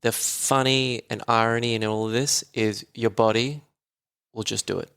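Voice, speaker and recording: A man's voice: medium pitch, steady tone, moderate pace, gravelly, a bit bright, clean tone. A man, a voice therapist talking as a guest in a casual podcast conversation, enthusiastic and chatty with frequent laughs.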